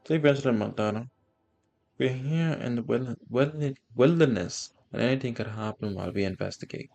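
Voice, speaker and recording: A man giving a casual game walkthrough and reading text aloud, in a halting way with small stumbles. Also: mid-range voice, vocal fry, darkish tone, faint music behind. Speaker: gaming streamer.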